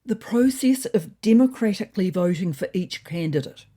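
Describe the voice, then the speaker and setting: A woman's voice: mid-range voice, animated, normal speed, warm tone, breathy edge, voice pushed up. Woman voicing a pompous, droning cat leader. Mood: waspish. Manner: pompous, waspish, droning, speechifying. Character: bossy, self-important female cat leader making pompous speeches.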